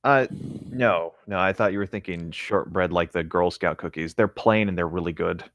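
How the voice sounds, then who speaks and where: A man in casual, playful co-host chat, quick to joke. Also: low-pitched, fairly bright tone, no room sound, highs cut off. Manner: playful, laughs readily.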